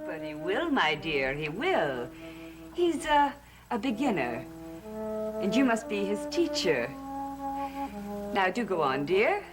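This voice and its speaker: A solemn, commanding coven leader draws out every word in a slow, measured voice. Music sits under her lines on an old television soundtrack. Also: mid-range voice, big pitch swings. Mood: coaxing. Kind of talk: 1960s soap opera acting.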